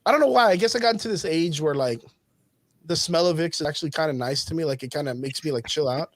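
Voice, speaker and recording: A man's voice: on the high side, quick pace, dry recording. A man co-hosting a casual podcast chat, laid-back and cursing freely, with a slight cold and cough. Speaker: podcast co-host.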